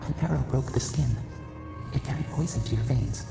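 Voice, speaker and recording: An actress playing an eerie, sorrowful imprisoned spirit in a video game, speaking for many in solemn, cryptic, poetic phrases. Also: female voice, very deep voice, a little fast, exaggerated voice. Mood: mournful, solemn. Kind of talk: dramatic video game voice acting. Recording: echoing voice under clear music.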